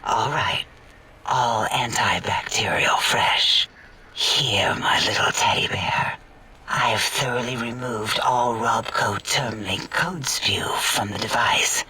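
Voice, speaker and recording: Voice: medium pitch, slow, sharp tone, distant mic, poor audio. A performer voicing a sultry, doting, clinical robotic scientist in a sci-fi comedy game, coy and whispery.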